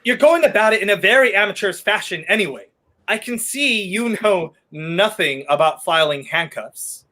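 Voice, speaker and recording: A man's voice: sits high, animated, normal speed, sharp tone, a little hiss or hum. A young man playing a wry, weary fugitive hero in a 1930s radio play, sardonic and theatrical in manner. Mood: irritated. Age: young adult.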